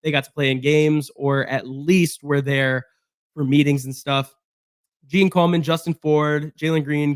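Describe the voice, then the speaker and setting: A man with a hoarse voice from being sick, chatting casually through a solo football roster breakdown with fillers and restarts. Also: fairly high pitch, no echo, clean studio sound. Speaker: sports podcast host.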